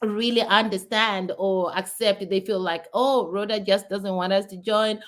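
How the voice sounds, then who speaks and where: A woman's voice: medium pitch, fairly even intonation, measured pace, a bit bright. Earnest Zimbabwean woman vlogging. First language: not English. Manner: earnest, talks in restarts and repeats. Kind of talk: casual talk to camera.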